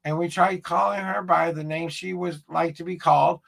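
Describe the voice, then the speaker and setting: A man hosts his own ghost-hunting vlog, talking straight to camera in a loose, rambling way and often restarting his sentences. His voice has a heavy gravelly fry, and it sits a bit higher than most men's. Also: steady tone, moderate pace, fairly bright tone. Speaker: paranormal investigator host. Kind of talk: casual talk to camera.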